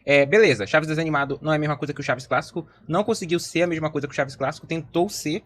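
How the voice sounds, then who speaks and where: A man's voice: on the high side, very fast, fairly bright tone. A man with a Brazilian accent giving casual reaction commentary, slangy and often repeating and rephrasing himself. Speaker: react video host. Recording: clearly noisy background ambience.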